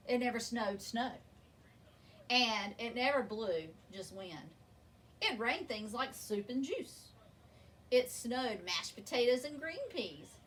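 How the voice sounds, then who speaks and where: High, lively woman reading a children's story. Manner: expressive, deliberate, drawn-out emphasis. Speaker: storybook reader. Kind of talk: read-aloud children's story narration. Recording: distant mic with faint background noise.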